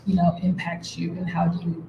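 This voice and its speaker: A woman moderating a panel, asking a long question in a measured way. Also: lowish voice, completely flat delivery, lo-fi sound. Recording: echoey room with background noise.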